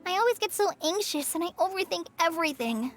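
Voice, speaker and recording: A woman's voice: very high-pitched, expressive, quick pace, faint music behind. A woman voicing an anxious, self-doubting teenage girl ranger trainee in a video game, with a hesitant, earnest manner. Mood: troubled, confiding.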